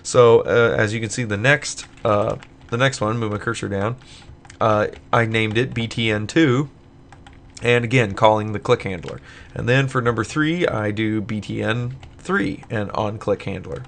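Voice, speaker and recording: A man with a lowish voice talks through code in a relaxed, unscripted way, pausing on "uh" and "um" as he goes. His voice is getting a bit hoarse from a late night of recording, and keyboard typing is heard behind him. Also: a little hiss or hum. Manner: casual, thinking aloud with many fillers. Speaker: tutorial maker. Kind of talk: screen-recorded coding tutorial.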